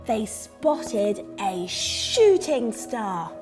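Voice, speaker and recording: A woman reading a scripted story to young children with expressive, dramatised delivery. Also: mid-range voice, drawn-out words. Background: music under the reading.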